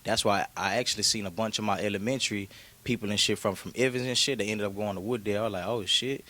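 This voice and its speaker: Laid-back young man, low voice. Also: fairly even intonation, quick pace, sharp tone. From Memphis, Tennessee. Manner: laid-back, slangy, storytelling with frequent "you feel me". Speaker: rapper guest. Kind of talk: casual interview chat.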